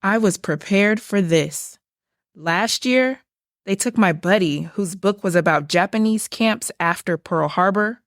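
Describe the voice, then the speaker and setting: Lady voicing a frank worried book puppet, mid pitch, earnest stage acting, no room echo. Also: measured pace, studio quality, close to an everyday voice. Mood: earnest, concerned. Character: frank, concerned banned book puppet, loyal friend. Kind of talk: theatrical puppet musical acting.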